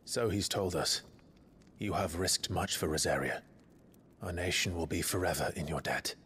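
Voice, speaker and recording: An actor playing an earnest, brooding noble warrior, in a video game drama. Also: male voice, deep voice, normal speed, a bit bright, slight background noise. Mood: earnest, subdued. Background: background music.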